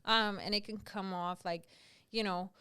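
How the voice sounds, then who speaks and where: Frank Danish woman, smooth voice. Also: mid-range voice, fairly even intonation, moderate pace, sharp tone. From Denmark. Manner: frank, unbothered, matter-of-fact. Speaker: podcast guest. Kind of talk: casual interview chat.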